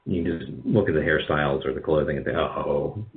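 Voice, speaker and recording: Male interview guest, very low voice, casual and halting, heard over dull narrow-band call audio. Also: a little hiss or hum. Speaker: guest, radio drama producer.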